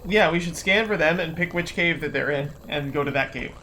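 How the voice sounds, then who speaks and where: A woman's voice: low-pitched, brisk, fairly bright tone, slight background noise, rough recording. A woman playing a tabletop game, talking through plans casually and off the cuff. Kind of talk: casual improvised game chat.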